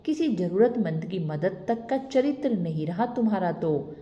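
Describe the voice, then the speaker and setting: A woman's voice: lowish voice, lively intonation, a little slow, mellow, lo-fi sound. A woman voicing a mysterious woman in a vision who reproaches and insists. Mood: reproachful. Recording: echoey reverberant room.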